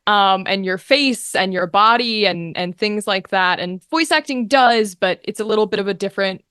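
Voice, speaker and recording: A young woman chatting casually as an interview guest, telling her story in an animated, informal way. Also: mid-range voice, normal speed, clean tone, dry recording, studio quality. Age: young adult. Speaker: guest, voice actor.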